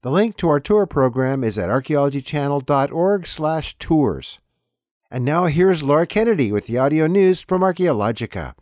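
A man's voice: a little high, expressive, moderate pace, a little mellow, dry recording, studio quality. A man delivering scripted announcements and headlines as the host of a program.

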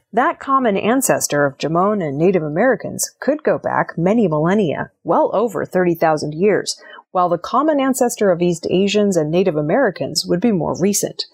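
Female news reader, lowish and husky, formal measured delivery. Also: a little mellow. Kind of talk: scripted news narration.

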